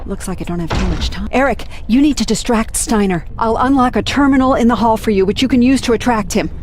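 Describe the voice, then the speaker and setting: An actress playing a resourceful vampire computer expert in a video game, giving focused instructions. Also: female voice, on the high side, fast, a little mellow, a little hiss or hum, lightly acted. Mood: focused, urgent.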